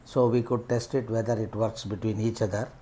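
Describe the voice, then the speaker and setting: A middle-aged man with an Indian accent giving unscripted unboxing commentary as a vlogger, in halting, piecemeal phrases. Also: fairly low pitch, level tone, dark tone. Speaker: vlogger reviewing rider gear.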